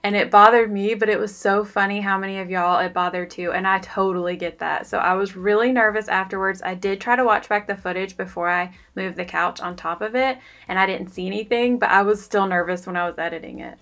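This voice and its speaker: A woman's voice: medium pitch, steady tone, fairly quick, hardly any pauses, fairly bright tone. A woman, talking through her cleaning in a chatty, confiding voice-over. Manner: chatty, confiding, self-deprecating. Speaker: cleaning vlogger. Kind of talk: casual voice-over narration.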